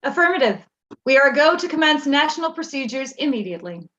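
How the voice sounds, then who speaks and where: Efficient, obedient official played by a woman, forceful edge, clipped stage delivery, slightly lo-fi. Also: high voice, big pitch swings, brisk, slight background noise. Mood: dutiful. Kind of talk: staged play reading.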